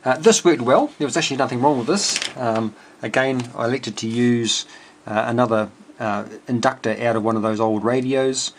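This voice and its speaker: A man giving an informal technical walkthrough as a hobby builder, thinking aloud in a hesitant, halting way. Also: mid-range voice. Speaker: amateur radio builder presenting a project. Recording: clear steady background noise.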